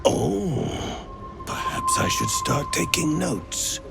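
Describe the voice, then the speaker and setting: A man playing an erudite, inquisitive adventurer in a video game, articulate, expressive and musing. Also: high-pitched, slow, vocal fry, loud music behind the voice, exaggerated voice. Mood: wry interest. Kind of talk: video game dialogue acting.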